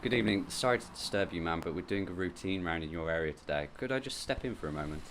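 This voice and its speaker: An actor playing a courteous, officious, rambling police officer in a horror audio drama. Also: male voice, low voice, expressive, quick pace. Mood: courteous, procedural. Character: polite, rambling male police officer on rounds. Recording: faint background noise.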